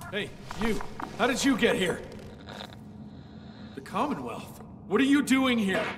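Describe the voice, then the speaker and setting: An actor playing a wary, erratic stranded spaceman in a video game, with a halting, animated delivery. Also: high-pitched, distant mic, noisy background. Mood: suspicious, confused. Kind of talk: dramatic video game acting.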